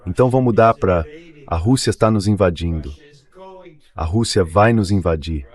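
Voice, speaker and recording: A man's voice: low voice, normal speed, a little mellow. A synthetic male voice, dubbing a political commentator in discussion.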